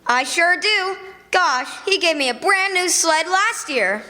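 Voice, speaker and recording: A woman plays an innocent little boy in an old radio play. The voice is extremely high and bright, chirpy and earnest. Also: animated, a little slow, exaggerated voice. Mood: eager. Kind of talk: old-fashioned radio drama acting. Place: live performance on a college campus.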